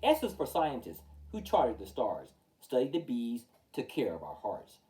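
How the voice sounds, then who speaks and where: Male storytime reader: mellow tone, lively swooping intonation, emphatic rhyming verse, recorded a little far from the mic. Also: medium pitch, moderate pace. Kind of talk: expressive children's picture-book read-aloud. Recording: distant from the mic.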